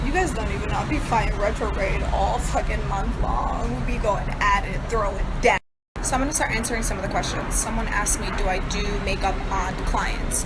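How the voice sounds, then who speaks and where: Blunt, sassy woman over loud noise. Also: medium pitch. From New York. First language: Serbo-Croatian. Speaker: social media personality. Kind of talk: casual vlog Q and A.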